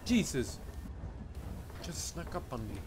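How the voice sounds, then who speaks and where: A man's voice: a little high, very animated, takes it slowly, darkish tone, a little hiss or hum. A young man with as his language, giving loose, profane and jokey let's play commentary. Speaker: gamer. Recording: game sounds under commentary.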